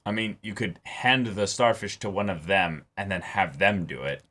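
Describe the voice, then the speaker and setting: A man's voice: sits low, expressive, normal speed, pushed and bright. A man co-hosting a reaction show, chatting casually with informal, joking banter. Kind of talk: casual reaction chat and commentary.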